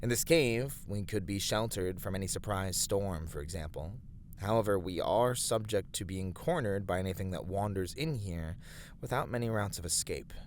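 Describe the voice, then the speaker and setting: Man acting a low-voiced, steady fantasy adventurer. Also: a little hiss or hum, natural voice. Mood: thoughtful, deliberative. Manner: measured, strategic, encouraging, slightly formal wording. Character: steady, righteous male fantasy adventurer and trusted companion. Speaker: voice actor in a roleplay. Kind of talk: scripted ASMR fantasy roleplay addressed to the listener.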